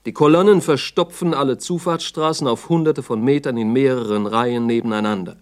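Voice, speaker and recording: A male narrator with a medium-pitched voice reads scripted, documentary-style radio drama. His delivery is sober and factual, like an official report from the 1960s. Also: a little fast.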